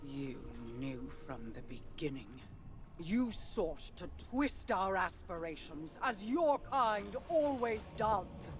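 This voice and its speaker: An actress playing an imperious, suspicious queen in a video game, measured, regal and coldly accusing. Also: fairly low pitch, very animated. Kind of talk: dramatic video game voice acting.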